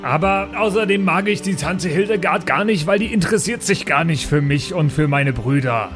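A man's voice: on the high side, lively intonation, moderate pace, over music, lightly acted. A man playing a sulky, complaining son in an improvised fantasy audio play, with a whining, indignant manner. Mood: sulky complaint.

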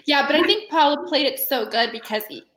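A woman's voice: high-pitched, expressive, moderate pace, fairly bright tone. A woman chatting casually about a TV show in an informal way, often saying "like" as she goes. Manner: informal, peppered with "like". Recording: roomy echo with faint noise.

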